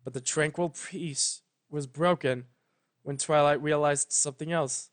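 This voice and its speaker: A man's voice: fairly high pitch, fairly even intonation, a little slow. A man reading fan fiction as narrator, in a solemn, emotive, dramatic storybook style.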